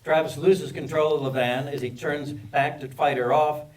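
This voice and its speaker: A man's voice: a little high, steady tone, moderate pace. Distant-miked man reading screenplay action. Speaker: stage-direction reader. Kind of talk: scripted narration of screenplay action. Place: live table read at a film festival. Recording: distant microphone at live reading.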